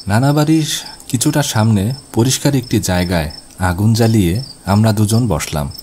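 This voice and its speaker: A man's voice: lowish voice, animated, normal speed, a little mellow, slight background noise. A man reading scripted first-person ghost story narration for an animation. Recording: music bed behind the voice. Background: music.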